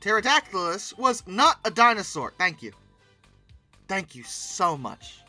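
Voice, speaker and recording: A man's voice: high voice, sing-song, measured pace, sharp tone, soft background music. A man giving casual commentary and reading text aloud, breaking in with sarcastic, exclamatory asides. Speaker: host commentating on old dinosaur art.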